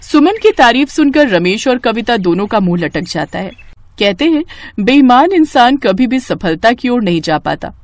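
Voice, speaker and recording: Casting: female narrator for moral bedtime tales, slightly high pitch, very animated intonation. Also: normal speed, no room sound. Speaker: storyteller. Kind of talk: scripted moral story narration.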